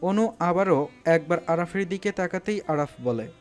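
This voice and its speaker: Guy narrating an audio story, fairly high voice, scripted and dramatic, music under him. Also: moderate pace.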